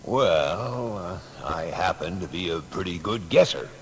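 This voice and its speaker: A self-assured, folksy inventor in an audio drama. The voice is very low and swings expressively, with wry, plain-spoken phrasing. It rings in a long hall reverb over some noise. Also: male voice, expressive, a little slow. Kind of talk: dramatised audio biography acting.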